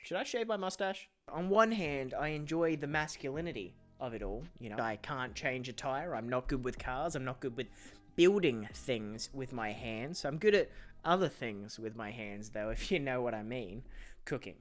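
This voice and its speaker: A man's voice: mid-range voice, lively intonation, normal speed. Male reaction-video host, mid-range voice, casual and sarcastic, cheeky asides, music behind him. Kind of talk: casual jokey reaction commentary.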